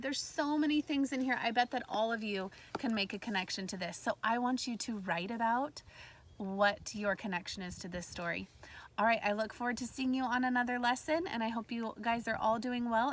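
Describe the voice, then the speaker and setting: A woman's voice: on the high side, a bit bright. A woman teacher leading a read-aloud lesson for young children, explaining things simply and prompting her listeners. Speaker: elementary school teacher.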